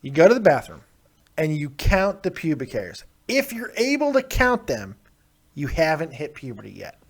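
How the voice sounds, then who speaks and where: Male call-in host, slightly high voice, brash and teasing, crude humor, laughs loudly. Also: huge pitch swings, normal speed. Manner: loud, teasing, bursts of laughter. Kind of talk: crude joking call-in chat.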